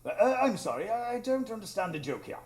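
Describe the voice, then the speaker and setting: A man playing a flustered, incredulous older English gentleman doctor in a live radio drama, blustering and stammering. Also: sits high, sing-song, fast talker, roomy sound. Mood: curious, puzzled.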